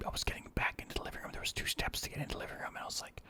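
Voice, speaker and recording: A man telling rambling personal anecdotes in a soft ASMR whisper. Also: racing. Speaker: storyteller.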